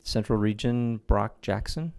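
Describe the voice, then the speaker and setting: A man chairing a commission meeting keeps things moving with brisk, polite remarks. His voice is low and warm, and the sound is dry and close. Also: vocal fry, clean tone, no room sound.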